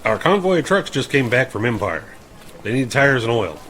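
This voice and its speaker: A man's voice: medium pitch, big pitch swings, normal speed, fairly bright tone, a little hiss or hum. An actor playing a dutiful army private reporting respectfully to his superior in an audio drama.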